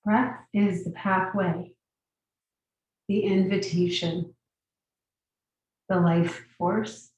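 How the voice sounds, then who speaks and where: A woman guiding a meditation as a teacher, giving gentle, unhurried instructions with long pauses. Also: lowish voice, fairly even intonation, dull audio. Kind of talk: guided meditation. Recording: very echoey room, distant mic.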